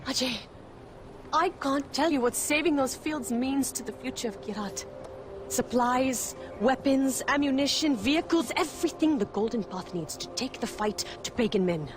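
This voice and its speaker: An actress playing a passionate, hardened rebel commander in a video game drama, speaking with earnest emphasis. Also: female voice, sits high, lively intonation, moderate pace, noisy background. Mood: impassioned.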